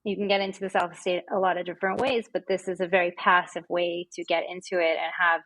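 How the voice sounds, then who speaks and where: Enthusiastic lower-voiced woman, close and dry. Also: level tone, quick pace, a bit bright, no room sound, rough recording. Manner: enthusiastic, gushing. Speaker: podcast guest, wellness app representative. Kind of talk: casual conversational explanation.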